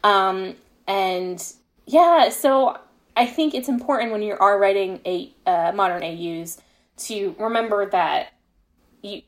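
Female interview guest, a fiction writer, mid-pitched, thinking aloud conversationally. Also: slow. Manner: reflective, explains at length with frequent "you know" and "like".

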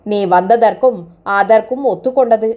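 A woman voicing a kind, fatherly middle-aged man who speaks in a gentle, coaxing way. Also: on the high side, normal speed, close to an everyday voice.

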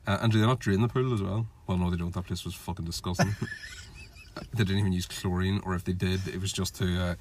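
A man's voice: low-pitched, steady tone, fast talker, slight background noise. A man co-hosting a casual podcast, rambling and sweary with frequent restarts. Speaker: podcast co-host.

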